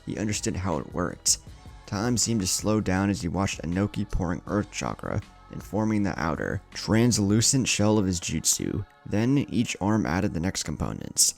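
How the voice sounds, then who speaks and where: A man's voice: deep voice, gravelly, darkish tone. A man narrating an anime fan story in a dramatic scripted style.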